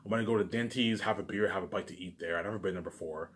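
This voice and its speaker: A man's voice: deep voice, very fast. A man giving a rambling, informal monologue, repeating himself emphatically, swearing and restarting his phrases. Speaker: vlogger.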